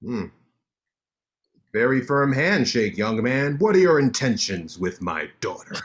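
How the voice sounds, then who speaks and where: An actor playing a cocky, sharp-tongued male lawyer in a staged play reading, teasing his friend with quick banter. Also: medium pitch, sing-song.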